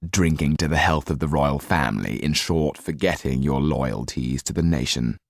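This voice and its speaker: A man voicing a sinister, coldly composed count with a smooth, deliberate, menacing manner. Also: extremely low pitch, dry recording, studio quality, pitched below the performer's own voice, exaggerated voice. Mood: cold reproach.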